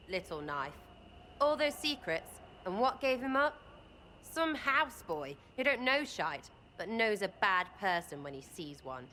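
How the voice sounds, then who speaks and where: Sera, a crude cheeky archer. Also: female voice, fairly high pitch, pushed and bright, slight background noise, full character voice. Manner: crude, mocking, rambling banter. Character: cheeky lowborn woman archer, irreverent.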